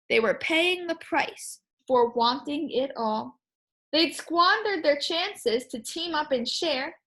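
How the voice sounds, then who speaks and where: Female primary teacher for picture-book read-aloud: high voice, unhurried pace, expressive, questioning delivery. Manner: expressive, questioning, explains simply to children.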